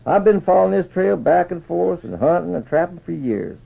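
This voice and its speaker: Man acting folksy old frontier scout, gravelly, swooping pitch, western radio play, muffled old recording. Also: animated, fairly quick, exaggerated voice. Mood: proud, hearty. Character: old male trapper and Indian scout, folksy mentor. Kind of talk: 1950s western radio storytelling.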